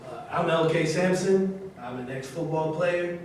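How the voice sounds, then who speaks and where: Guy acting a disgraced ex-football star, defensive, highish voice, really echoey room. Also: unhurried, darkish tone, lightly acted. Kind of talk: staged play reading. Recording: echoey room, far mic, faint noise.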